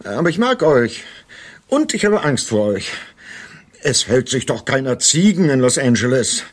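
A man's voice: medium pitch, big pitch swings, measured pace. An actor playing an anxious, wary man being drawn into shady dealings, in a German radio drama.

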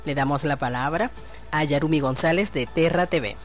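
A woman's voice: sits low, normal speed, faint music behind. A woman with a Venezuelan Spanish accent presenting radio news and welcoming guests in a formal announcing manner. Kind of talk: read radio news and interview hosting.

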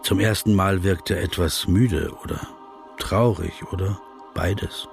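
An actor in a radio play voicing a polite, persistent male interviewer who probes, flatters and gives deliberate literary asides. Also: low voice, vocal fry, soft background music. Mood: hushed observing. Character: persistent, courteous male interviewer, flattering, observant, narrating into dictaphone.

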